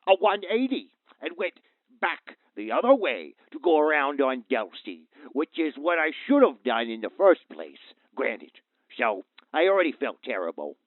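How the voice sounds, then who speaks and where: An actor playing a worried, earnest father calling a radio show, speaking haltingly over a phone line. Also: on the high side, big pitch swings, no room sound. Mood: shaken, worried. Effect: phone line filter. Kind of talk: audio drama phone-in acting. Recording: tinny, narrow phone-like line.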